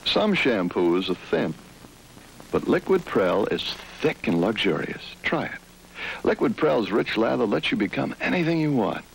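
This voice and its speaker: A man speaks slowly and suavely in an old television shampoo ad, with a teasing tone. His voice has a gravelly edge. Faint noise sits behind him. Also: on the low side, very animated, low-quality recording. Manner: slow, suave, teasing delivery. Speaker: announcer. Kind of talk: 1960s TV commercial voice-over.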